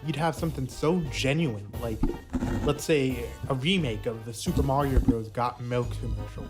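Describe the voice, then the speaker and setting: A man's voice: medium pitch, normal speed. A young adult man narrating a scripted but casual video essay. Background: faint music.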